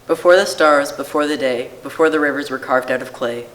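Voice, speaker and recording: A man's voice: medium pitch, steady tone, brisk, a bit bright. A man giving a scripted poetic reading at a church service, heard with long reverb. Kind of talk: scripted poetic reading, shared among readers.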